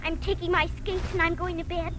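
A woman's voice: very high-pitched, little pitch movement, a little fast, fairly bright tone. An actress playing a wide-eyed, stubborn and eager little farm girl in a 1940s radio drama. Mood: sulky, pleading. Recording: noisy vintage 1940s radio recording.

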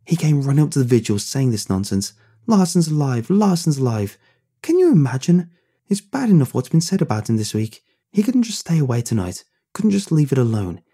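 A man voicing an angry teenage quarterback who rants loudly with cold scorn. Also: fairly high pitch, big pitch swings, fast talker, husky, voice pushed up. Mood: contemptuous. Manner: loud, scornful ranting.

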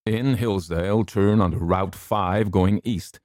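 A man's voice: sits low, a little slow, darkish tone, no room sound, studio quality, voice pushed up. A man voicing a stern, dignified older doctor who speaks levelly and firmly, in an audiobook reading. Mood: urgent.

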